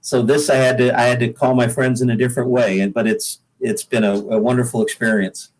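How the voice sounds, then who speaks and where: Halting man chatting in an interview. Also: mid-range voice, level tone, low-quality recording. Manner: halting, repeats words while thinking. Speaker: guest singer-songwriter. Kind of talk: casual interview chat.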